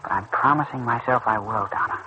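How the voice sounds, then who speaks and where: Noisy vintage radio soap: proud, tender teen boy, slangy and sincere, with a bright edge. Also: mid-range voice, a little fast. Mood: earnest, tender. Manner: earnest, boyish, slangy. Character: earnest injured teenage boy, tender and proud. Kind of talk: mid-century radio soap acting. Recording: muffled, noisy old radio recording.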